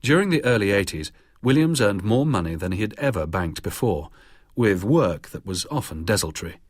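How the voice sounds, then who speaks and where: A man reads scripted documentary narration at a measured, steady pace. His voice sits a little low with a slightly husky edge, recorded close and dry with no room around it. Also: no echo. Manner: measured, even reading.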